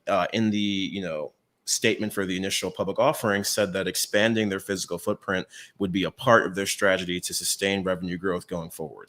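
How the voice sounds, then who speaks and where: A man's voice: low voice, sharp tone. A man co-hosting a talk, explaining trends in a casual, chatty way and often checking in with the listener. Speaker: co-host presenting retail trends. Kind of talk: casual conversational presentation.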